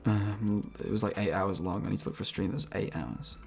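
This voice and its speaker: A man with a low, gravelly voice hosts a livestream, talking in a loose, rambling way. He laughs easily and keeps the chat relaxed, with faint music playing behind him. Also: brisk. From United Kingdom. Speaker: streamer host.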